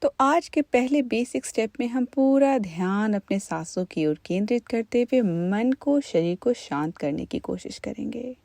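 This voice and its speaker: A woman leading a guided meditation as an instructor, in a calm, gentle, unhurried manner. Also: fairly high pitch, vocal fry, dry recording.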